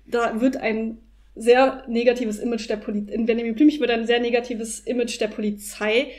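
A woman's voice: on the high side, steady tone, moderate pace, a little mellow. A woman co-hosting a podcast in a casual, conversational discussion. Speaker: podcast co-host.

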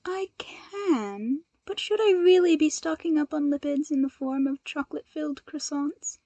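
A composed, polite young heroine in an audio story, as a woman reads her, measured and gently dry. Her voice is fairly high and soft-toned, recorded close and dry. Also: no room sound, muffled recording. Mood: reluctant. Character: composed, polite, thoughtful young woman hero, agency head.

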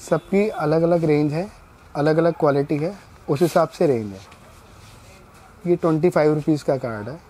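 A middle-aged man running a shop, walking a customer through products and prices in a steady, explanatory salesman's patter. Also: fairly high pitch, normal speed, pauses often. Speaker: wedding card shopkeeper. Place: small indoor shop.

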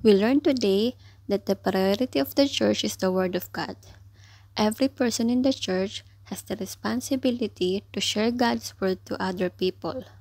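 A young woman teaching children in church, giving gentle, careful craft instructions. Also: mid-range voice, normal speed. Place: small room at home, indoors.

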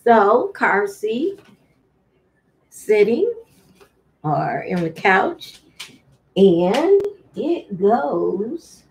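A middle-aged woman giving a deliberate demonstration for parents of babies, sometimes in singsong baby talk, recorded at home on a webcam microphone. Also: mid-range voice, a little mellow, a little hiss or hum, poor audio. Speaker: early childhood teacher, host of infant read-along. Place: room at home.

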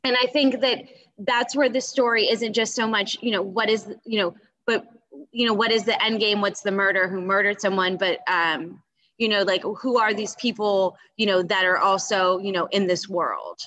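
A young adult woman talks casually about a book as a co-host. She speaks at a middling pitch and often pauses to find her phrasing, padding it with "you know." She is indoors on a video call. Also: fairly even intonation, fairly bright tone, rough recording. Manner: hesitant, searching for words, frequent "you know". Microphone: video call computer mic.

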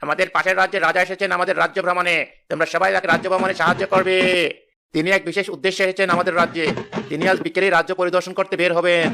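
A man's voice: high-pitched, level tone, racing, vocal fry, sharp tone, exaggerated voice. A man voicing a royal town crier proclaiming news in a cartoon. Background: background music.

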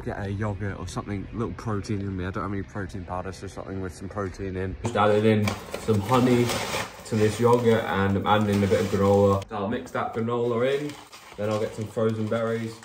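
A man's voice: brisk, background noise. A seventeen-year-old young man, chatting casually to a handheld phone camera about his day. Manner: relaxed, chatty, low-key. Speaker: vlogger, youth footballer. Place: gym, kitchen, bedroom and car. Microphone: phone held at arm's length.

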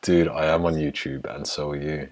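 A man in his twenties from Egypt with a husky voice, joking casually with strangers on video chat as a YouTuber. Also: very deep voice, level tone, moderate pace, darkish tone. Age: 20s. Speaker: YouTuber hosting random video chats. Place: living room at home.

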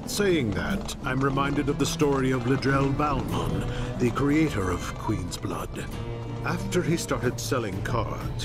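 An actor playing an earnest, courteous card player in a video game, speaking in a formal, reflective way. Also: male voice, mid-range voice.

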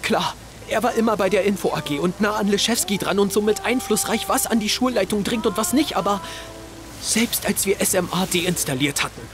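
A man's voice: sits high, fairly quick, lightly acted. A young man acting an indignant, blunt teenage schoolboy in an audio drama, intense and urgent. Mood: urgent, angry. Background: music.

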